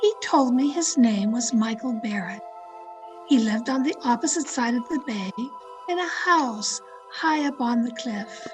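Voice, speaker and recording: Woman acting a high-voiced, intense radio suspense heroine. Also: animated, a little slow. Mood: tense. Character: thrill-seeking young woman narrating her murder tale. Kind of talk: 1940s radio suspense acting, scripted first-person narration. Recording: video-call radio play with music underneath. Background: dramatic music.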